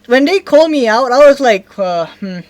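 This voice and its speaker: Halting young woman with an Indonesian accent. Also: sits high, animated, darkish tone, no room sound. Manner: rambling, halting, self-correcting, keeps asking "right?". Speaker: vlogger. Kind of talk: storytime vlog.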